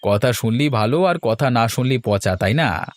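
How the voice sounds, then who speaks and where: A man voicing an indulgent village father in a cartoon, coaxing and gently teasing. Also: mid-range voice, expressive, moderate pace, no room sound, close to an everyday voice. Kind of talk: Bengali cartoon story dialogue. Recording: music behind the voice.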